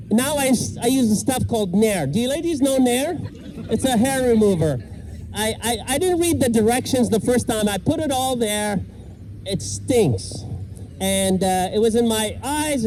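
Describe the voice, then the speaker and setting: A middle-aged man from Iraq doing a self-deprecating stand-up set with deadpan punchlines, outdoors in a park. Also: very high voice. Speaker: stand-up comedian. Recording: outdoor noise and passing traffic. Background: park ambience and vehicles.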